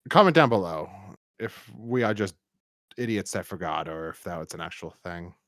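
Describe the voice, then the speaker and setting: A man with a fairly low voice and very animated intonation chats as a podcast host. He rambles through a casual review, often restarting his phrases. The sound is close and dry. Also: no room sound. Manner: rambling, halting with repeated false starts.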